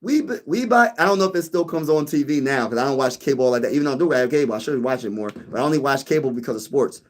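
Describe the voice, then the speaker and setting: A man's voice: medium pitch, expressive, fast. A man hosting a sports channel in a casual live-stream chat, rambling, repeating himself and calling listeners "bro.". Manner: rambling, repeats phrases, says "bro" a lot. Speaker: YouTube sports channel host.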